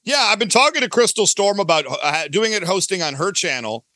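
Man, high bright voice, talkative, self-correcting, casual interview guest, dry close sound. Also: very animated, a little fast, dry recording. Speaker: guest, audio drama creator.

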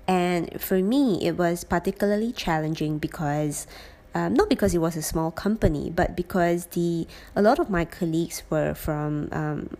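Woman in her late 20s, lowish voice, chatty and reflective, lots of ums. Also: a little mellow. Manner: thoughtful, with frequent um fillers and restarts. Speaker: former auditor sharing her career experience. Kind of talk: casual reflective monologue.

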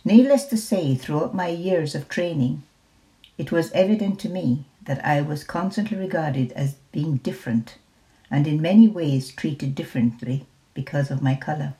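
A woman, a retired nurse reading her own memoir in a measured, deliberate way. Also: low voice, mellow, rough recording. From Jamaica. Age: 70s.

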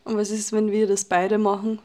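A woman's voice: medium pitch, monotone, normal speed, warm tone. A woman from Carinthia in Austria co-hosting a podcast with casual, playfully combative banter. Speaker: podcast co-host.

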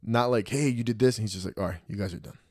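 A man in his thirties telling stories in a casual podcast chat, profane and animated. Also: on the low side, very fast, dry recording, clean studio sound. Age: 30s. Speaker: podcast guest.

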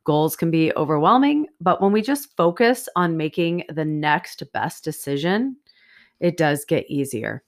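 A woman's voice: low-pitched, animated, a little slow. A woman hosting a casual solo podcast, chatty and rambling, her voice stuffy and nasal from a sinus infection. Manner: chatty, rambling, many fillers. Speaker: podcast host. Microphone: new USB microphone.

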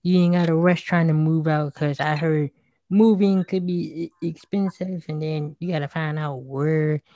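A man in his twenties in casual chat, agreeable and chiming in with quick remarks. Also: a little high, steady tone, warm tone, no room sound, lo-fi sound. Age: 20s.

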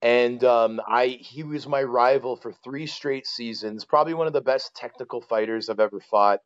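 A man in his forties with a Canadian accent, telling stories casually as a podcast guest, colloquial and animated, often checking that the listener follows. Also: mid-range voice, moderate pace, clear, resonant tone, dry recording, muffled recording. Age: 40s. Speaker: former pro hockey player, interview guest.

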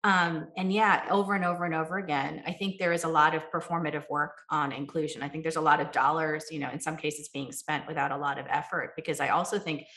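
A woman's voice: low voice, fairly even intonation, quick pace, few pauses, pushed and bright. A woman author answering questions in a virtual talk, earnest and reflective, with fillers and restarts. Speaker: author and guest speaker.

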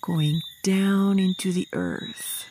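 A woman leading a guided meditation in a soft, breathy, soothing voice, with short phrases and long pauses. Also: lowish voice, steady tone, noisy background. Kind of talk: slow guided meditation narration.

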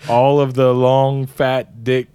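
A man co-hosting a podcast, chatting casually and throwing in quick jokes. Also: mid-range voice, flat delivery, warm tone, clear, resonant tone, dry recording. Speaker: podcast co-host. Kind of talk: casual chat.

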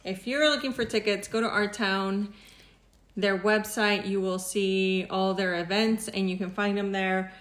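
A woman's voice: medium pitch, steady tone, measured pace, fairly bright tone, roomy sound. A middle-aged woman from Mexico co-hosting a podcast, chatting casually and mixing English with Spanish. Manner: enthusiastic, switches between English and Spanish. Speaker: podcast co-host. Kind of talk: bilingual casual chat.

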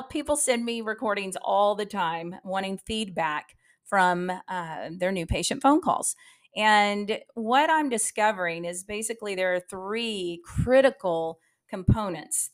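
A woman's voice: medium pitch, a bit bright. Emphatic woman hosting a coaching podcast. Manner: emphatic, direct address with rhetorical questions. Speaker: podcast host and practice coach. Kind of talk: coaching podcast talk to listeners.

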